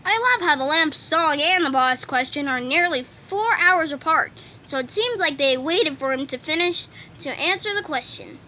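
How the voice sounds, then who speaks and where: A woman's voice: high voice, animated, normal speed, few pauses, sharp tone, slight background noise. A woman reading a fan comic dub in a theatrical, exaggerated manner.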